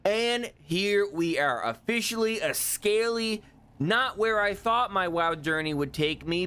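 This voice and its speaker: A man's voice: sits high, fairly bright tone, slight background noise. A young man giving casual, offhand commentary over gameplay, joking and cursing freely. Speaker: gaming YouTuber.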